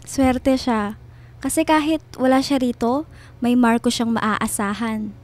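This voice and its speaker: An actress playing a gentle, attentive household helper in a Filipino radio drama, speaking with a Filipino accent in a soft, comforting way. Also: female voice, on the high side, fairly even intonation, slow. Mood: soft, caring. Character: gentle, caring female household helper, devoted and quietly attentive.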